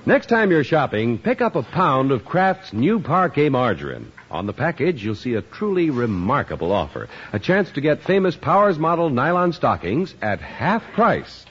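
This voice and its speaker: A man's voice: fairly high pitch, very animated, normal speed, hardly any pauses, fairly bright tone, breathy edge. A man announcing and reading ads on a 1950s radio show, with a polished, emphatic delivery, on a lo-fi broadcast.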